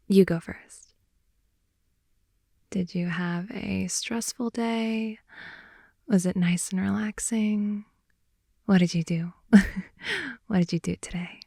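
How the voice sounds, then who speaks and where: A woman improvising an intimate ASMR roleplay as a playful, affectionate girlfriend in bed, speaking softly, often in whispers and sighs, close to the microphone. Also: mid-range voice, fairly even intonation, takes it slowly, vocal fry, long pauses, dry recording. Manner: soft, often whispery, sighing, teasing. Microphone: close microphone, dry intimate sound.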